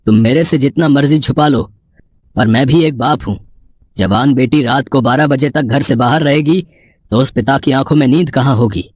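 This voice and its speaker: Man voicing a husky, weary middle-aged father. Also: mid-range voice, normal speed, a little mellow, no echo, clean studio sound, lightly acted. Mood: reproachful. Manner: earnest, admonishing.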